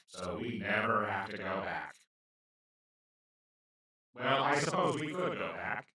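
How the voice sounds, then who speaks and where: A man playing a kind, awkward alien in a comedic audio roleplay, speaking to the listener in a gentle, earnest, apologetic and slightly formal, rambling way. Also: fairly low pitch. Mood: apologetic, concerned. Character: kind, awkward, well-meaning male alien abductor. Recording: roomy, echoing, distant-sounding voice.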